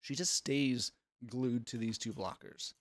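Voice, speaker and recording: A man's voice: mid-range voice, a little slow, no echo. A man giving analytical play-by-play sports commentary in an instructive, explanatory way.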